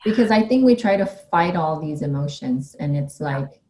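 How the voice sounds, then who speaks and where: Low-voiced woman podcast host. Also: lively intonation, moderate pace, darkish tone. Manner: supportive, frequent affirmations. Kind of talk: casual interview conversation.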